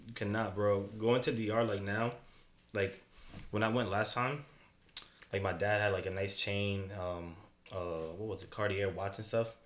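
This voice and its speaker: A man's voice: on the low side, steady tone, moderate pace, echoey room. A young man of Dominican family raised in New York, co-hosting a casual chat, joking and laughing often in slangy talk. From Dominican Republic family, raised in New York. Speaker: podcast co-host.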